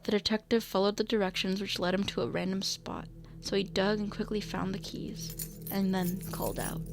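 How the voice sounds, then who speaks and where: A woman's voice: mid-range voice, normal speed, creaky. A woman reading scripted narration for a radio drama.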